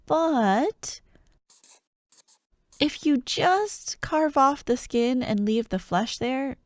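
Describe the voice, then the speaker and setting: A woman playing an excitable, teasing young childhood friend who loves Halloween, in an ASMR roleplay addressed to the listener. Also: high voice, extremely slow, no echo, heavily acted. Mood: chatty, proud. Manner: playful, teasing, animated, with frequent giggles and mock drama.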